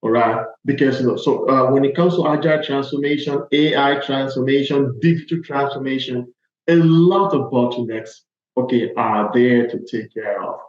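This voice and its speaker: A man's voice: mid-range voice, normal speed. A man with a Nigerian accent answering interview questions conversationally as a guest, emphatic and checking in as he makes each point. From Lagos, Nigeria. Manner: emphatic, punctuates points with "okay" and "all right". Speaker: podcast guest, agile transformation consultant. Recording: echoey room, slightly dull audio.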